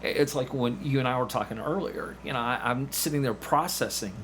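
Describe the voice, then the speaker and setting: A man talks casually as a podcast guest, telling stories in a halting, stop-and-start way and voicing quoted dialogue as he goes. His pitch is average for a man. A faint air conditioner hum sits behind him. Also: fairly bright tone. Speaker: podcast guest, amateur actor. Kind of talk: casual anecdotal chat.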